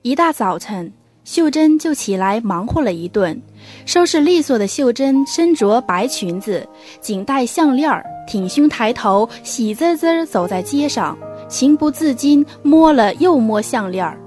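A woman's voice: fairly high pitch, lively intonation, measured pace, darkish tone. A young woman reading scripted storytelling narration for a student radio drama. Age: young adult. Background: music.

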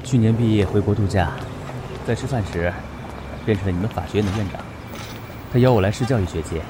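A man playing a courteous, caring young law lecturer in an audio drama, speaking in a calm, measured way. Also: lowish voice, noisy background, natural voice. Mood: composed, reflective. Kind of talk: Mandarin audio drama acting. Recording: music bed under the voice.

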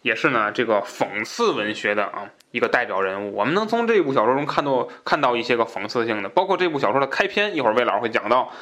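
A man's voice: medium pitch, lively intonation, quick pace, hardly any pauses, fairly bright tone. A man hosts a podcast, chatting casually about books and explaining points conversationally, often repeating himself for emphasis. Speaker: podcast host. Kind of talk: casual book discussion.

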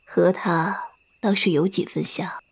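A woman's voice: lowish voice, fairly even intonation, a little slow, creaky, husky, exaggerated voice. A woman playing a grave, sorrowful older Buddhist nun master, solemn and emotional, in a Chinese period audio drama. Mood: wistful, grave.

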